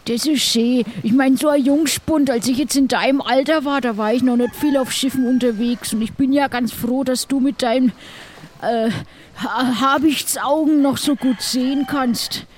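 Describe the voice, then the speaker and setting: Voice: high voice, steady tone, normal speed, a little hiss or hum. A player in an improvised audio play voicing a chummy, seasoned seafarer talking with the crew.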